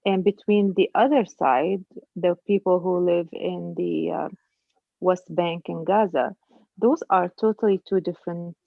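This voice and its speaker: A woman's voice: fairly low pitch, creaky, no room sound. Woman explaining stuff earnestly in a group chat, restarts a lot, dry tinny phone sound. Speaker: book discussion participant. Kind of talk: casual group discussion.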